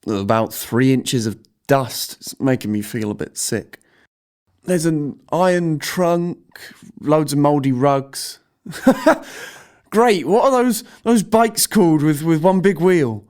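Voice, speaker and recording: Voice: mid-range voice, takes it slowly, a little mellow, lightly acted. An actor playing a curious fifteen-year-old son in an audio drama, casual and playfully cheeky. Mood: matter-of-fact.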